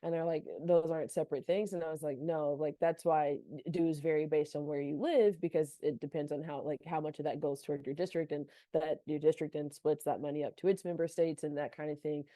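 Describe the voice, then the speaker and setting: A woman's voice: low voice, steady tone, a little fast, few pauses, mellow, dry recording. A young woman from North Carolina, an athletic trainer, talking chattily in a casual podcast interview with many "like" fillers. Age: young adult.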